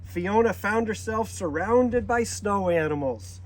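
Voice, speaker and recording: A man reading a children's storybook aloud in a deliberate manner, occasionally stumbling over a word. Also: sits high, animated, low-quality recording. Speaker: zoo director reading a storybook.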